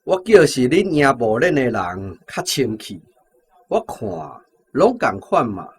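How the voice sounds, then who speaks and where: A man's voice: medium pitch, big pitch swings, a little slow, noisy background. An actor playing a curt, condescending male grocery shop owner in a radio drama, with a brusque, sarcastic manner. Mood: cold sarcasm. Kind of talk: Taiwanese-language radio drama acting.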